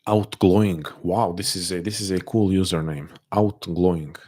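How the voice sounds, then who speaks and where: A man speaks English with a non-native accent that listeners often take for Russian. His voice is very low and quite even in pitch. He talks softly and hesitantly into a desk mic, restarting his sentences as he answers a live chat. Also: normal speed. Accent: non-native English, often taken for Russian. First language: not English. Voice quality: soft-spoken, hesitant, many restarts. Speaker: livestream host answering chat.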